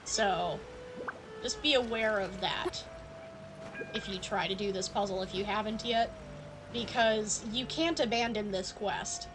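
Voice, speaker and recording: A woman's voice: medium pitch, a little slow, background noise. A woman giving casual live commentary as she streams a video game. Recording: game music behind the voice. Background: game music.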